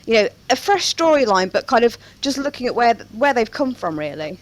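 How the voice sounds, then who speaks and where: A woman's voice: a little high, big pitch swings, a little fast. A woman co-hosting a casual podcast, asking interview questions in tentative, conversational phrasing. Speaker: podcast co-host.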